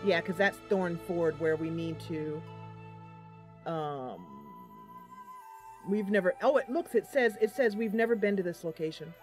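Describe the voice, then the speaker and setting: A woman's voice: lowish voice, a little slow, soft background music. A woman streaming a game live, chatting casually and affectionately with her viewers.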